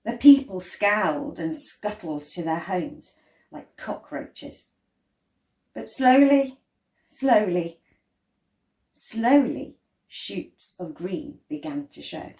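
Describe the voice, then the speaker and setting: A woman reads a children's story aloud expressively, with deliberate phrasing and dramatic pauses. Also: on the low side, lively intonation, mellow. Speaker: storyteller reading a picture book. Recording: roomy, echoey indoor sound.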